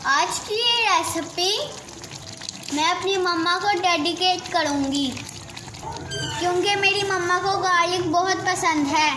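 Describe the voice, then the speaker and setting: A young girl talks slowly through a home recipe, taking her time over each step. She is recorded in an echoey home kitchen, and clear sizzling from the pan sits behind her voice. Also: very high voice, background noise. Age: child. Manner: slow, careful explaining. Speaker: cooking show host. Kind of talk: step-by-step cooking demonstration. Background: frying and sizzling.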